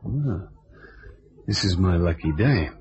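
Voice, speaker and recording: An actor playing a wry, tough but affable amateur sleuth in an old-time radio drama, with streetwise, hesitant turns of phrase. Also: male voice, low voice, animated, takes it slowly, a little mellow, slight background noise. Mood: persuasive, wry. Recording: dull, lo-fi vintage radio recording.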